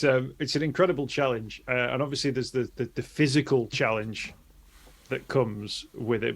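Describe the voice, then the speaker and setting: A man's voice: mid-range voice, vocal fry. A man hosting an interview, asking long questions that ramble with many restarts. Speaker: podcast host. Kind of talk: interview talk.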